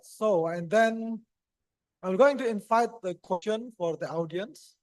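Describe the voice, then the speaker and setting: A man with an Indonesian accent moderates a conference session. His voice sits a little high and sounds dry and close, with no room sound. He speaks haltingly and leans on fillers between phrases. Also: darkish tone, dry recording.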